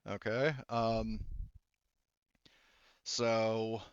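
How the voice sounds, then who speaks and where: A man's voice: fairly low pitch, flat delivery, drawn-out words, clear, resonant tone, dry recording, lo-fi sound. Man game master, clean dry sound. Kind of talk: casual narrating and refereeing of a tabletop roleplaying game.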